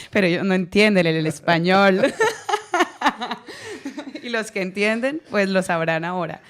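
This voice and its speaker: A woman's voice: on the low side, measured pace. A Colombian woman in her thirties with a Colombian accent, an actress telling her story in a radio interview, laughing often and repeating words as she goes. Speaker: actress guest. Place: radio studio.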